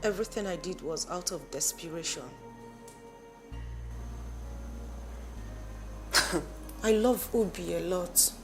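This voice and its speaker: An actress playing an obsessive, defiant young woman, with a sharp, confrontational voice. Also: fairly low pitch, normal speed, close to an everyday voice. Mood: intense. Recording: faint music behind.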